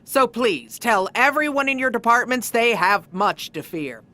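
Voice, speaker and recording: A woman's voice: on the high side, expressive, a little slow, pushed and bright. An actress playing a sarcastic, domineering woman boss in a comedy audio drama, curt and commanding. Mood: menacing. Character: sarcastic, domineering agency boss woman who rules by fear.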